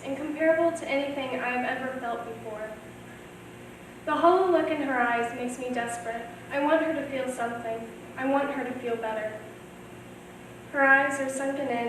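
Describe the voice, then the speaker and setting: Very echoey distant recording, teenage girl, high voice, student reading poetry. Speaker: student reader. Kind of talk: scripted poetic reading. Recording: very echoey room, distant mic, noisy.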